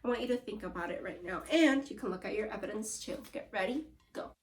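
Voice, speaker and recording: A woman's voice: mid-range voice, fast talker. A woman teacher reading aloud to young children, encouraging them and prompting with questions and cues. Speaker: elementary school teacher.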